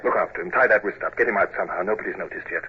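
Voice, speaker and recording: An actor playing a commanding, urgent British naval commodore in an old-time radio drama, with clipped, authoritative delivery. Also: male voice, sits low, rapid-fire, slight background noise, close to an everyday voice. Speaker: lead actor and narrator. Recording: dull, muffled old radio recording.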